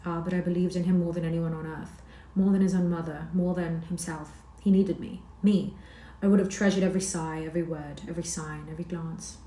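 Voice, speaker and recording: Grieving young woman, mournful and pleading, low mellow voice in a roomy space, read by a woman. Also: fairly even intonation, fairly quick, few pauses, slight background noise, lightly acted. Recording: roomy echo.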